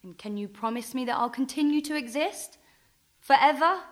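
An actress playing a plucky, brash and impatient action heroine in a radio play. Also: female voice, high voice, expressive, moderate pace. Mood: indignant. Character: plucky, bolshy action heroine of adventure novels.

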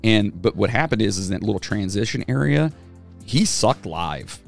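A man with a gravelly, slightly low voice talks casually as a podcast co-host, wandering through his points with fillers and false starts. He speaks like a former band singer swapping stories. Faint music sits behind him. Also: animated, normal speed. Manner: rambling, lots of fillers and restarts. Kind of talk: casual chat.

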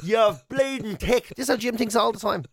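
A man's voice: high-pitched, brisk, creaky, dry recording. An Irish man co-hosting a radio show in casual comedic chat, with a playful, teasing way of telling stories. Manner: playful, teasing, animated storytelling. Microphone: close studio radio mic.

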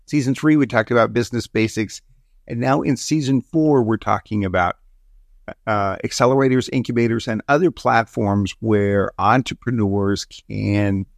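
Male podcast host, gravelly, halting delivery with restarts, dry close sound. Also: fairly low pitch, no echo. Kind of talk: interview podcast.

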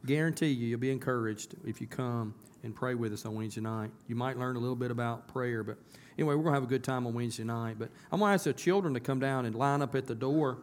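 A hoarse man with a drawl pastors his church in a relaxed, folksy voice. His pitch sits mid-range, and he leans into emphatic bursts. A faint hiss runs under the church sound. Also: quick pace, few pauses. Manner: folksy, conversational, rising to emphatic. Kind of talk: casual church announcements and sermon. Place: church sanctuary.

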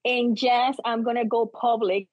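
High, husky woman with an accent. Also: little pitch movement, measured pace, dry recording, highs cut off. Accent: non-native English accent. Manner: emphatic, theatrical, quotes own thoughts aloud. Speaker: interview guest, book co-author, teacher and performer. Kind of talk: animated personal storytelling in an interview.

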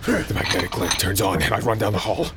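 An actor playing a desperate, sardonic space pirate on the run, narrating his own audio drama in dramatic turns from hush to yell. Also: fairly low pitch, sing-song, quick pace. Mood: defiant, urgent. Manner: dramatic, breathless shifts from hushed to yelling. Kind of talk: first-person audio drama narration and dialogue. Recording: loud music bed behind voice.

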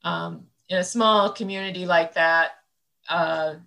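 A woman's voice: on the low side, fairly even intonation, unhurried, gravelly, pushed and bright. A woman in her sixties from central Illinois, an interview guest, recalls the past in a rambling, thoughtful way with many fillers. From central Illinois, United States. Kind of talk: reflective conversational interview.

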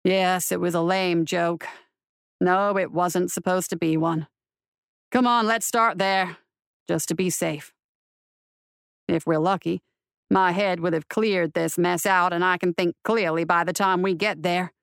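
Woman doing a low, gruff, weary marshal. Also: steady tone, unhurried, no room sound. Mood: weary, dry. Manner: dry, grumbling, wry. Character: gruff, weary male marshal grumbling to his horse. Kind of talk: audiobook character voice.

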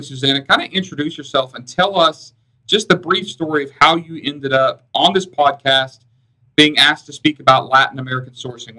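A man's voice: fairly high pitch, sharp tone, rough recording. A man with an Alabamian accent hosting a podcast, chatting in an upbeat, rambling way. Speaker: podcast host. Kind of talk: casual interview chat.

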